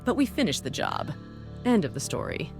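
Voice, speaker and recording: Low, bossy female boss played by a woman. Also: sing-song, music in the background. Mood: dry, cynical. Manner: brisk, dry, condescending. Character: bossy, cynical woman running a secret task force. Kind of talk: visual novel voice acting.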